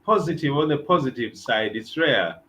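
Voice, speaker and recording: A man's voice: mid-range voice, lively intonation, normal speed, a bit bright, a little hiss or hum. A man, a bishop, giving earnest advice on a talk show and repeating his key phrases. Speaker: bishop guest.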